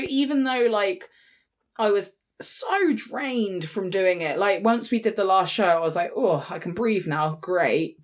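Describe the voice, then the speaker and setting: A British woman in her late twenties chatting casually as a podcast co-host, in a chatty, informal way. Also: lowish voice. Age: late 20s. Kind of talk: casual chat.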